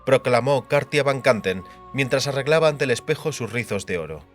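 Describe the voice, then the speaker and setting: A man's voice: mid-range voice, expressive, brisk, faint music behind. A man reading fantasy fiction as a scripted audiobook narration.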